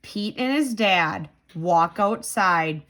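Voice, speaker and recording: Animated, slow-paced woman reading to children. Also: medium pitch. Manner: animated, with dramatic gasps and simple clear phrasing. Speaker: tutor reading a children's book aloud. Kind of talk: expressive children's read-aloud with questions to the listener.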